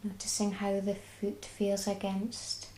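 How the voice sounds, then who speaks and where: A woman with a Scottish accent guiding a body scan meditation, speaking slowly and soothingly. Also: mid-range voice, monotone, roomy sound, a little hiss or hum, low-quality recording. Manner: slow, soft and soothing. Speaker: mindfulness guide.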